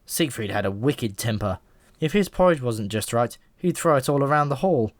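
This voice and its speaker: A man's voice: mid-range voice, lively intonation, moderate pace, no room sound, lightly acted. A man voicing a chatty, world-weary pigeon in an audiobook, talking in an offhand, frank and matter-of-fact way. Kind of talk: audiobook character voice.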